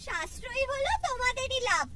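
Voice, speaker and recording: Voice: very high-pitched, lively intonation, fairly quick. A performer voicing a bossy, nagging older mother-in-law in a family cartoon, scolding and complaining. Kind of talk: cartoon voice acting. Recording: distant voice under loud music.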